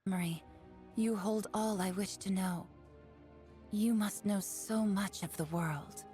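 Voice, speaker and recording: A woman's voice: medium pitch, steady tone, slow, dark tone, faint music behind, close to an everyday voice. An actress playing a sly, graceful fox spirit woman, coolly confident, in a video game. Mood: poised, wistful.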